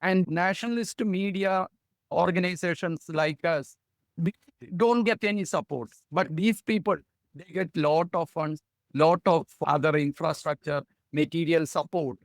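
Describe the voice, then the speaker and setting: A man's voice: on the high side, a little slow, no echo. A man with an Indian English accent, speaking earnestly as a guest in a discussion. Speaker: guest journalist. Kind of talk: opinionated discussion.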